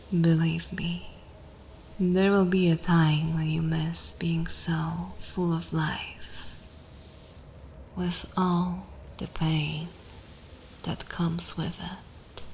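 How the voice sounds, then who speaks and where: A seductive vampire mistress played by a woman whispers in an intimate ASMR roleplay. Her breathy voice sits a little low and moves extremely slowly, with long, deliberate pauses. She is dominant and condescending, over a noticeable layer of background noise. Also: darkish tone, full character voice.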